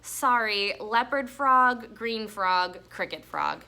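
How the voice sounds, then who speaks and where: A woman's voice: medium pitch, lively intonation, takes it slowly, vocal fry, bright, forceful tone, full character voice. A woman voicing a bossy, playful big sister in a children's storybook read-aloud, instructing and encouraging her little brother.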